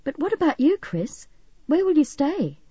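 Woman voicing an eager, high-pitched girl. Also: very animated, normal speed, warm tone, dry recording, lo-fi sound. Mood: sympathetic. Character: warm, excitable, sympathetic teenage girl.

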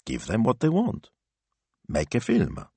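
A man's voice: fairly low pitch, huge pitch swings, unhurried, vocal fry, a little mellow, breathy edge, no room sound, pitched above the performer's own voice, full character voice. A man voicing a shrewd, bossy Italian wife who talks in a practical, decisive, chiding way in an audiobook. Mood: brisk, decisive. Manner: chiding, matter-of-fact, decisive.

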